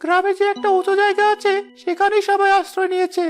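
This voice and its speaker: A man voicing a sly, resentful henchman of a village headman in a cartoon, in a squeaky put-on voice. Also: little pitch movement, quick pace, faint music behind. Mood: alarmed, bossy. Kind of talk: animated moral story voice acting.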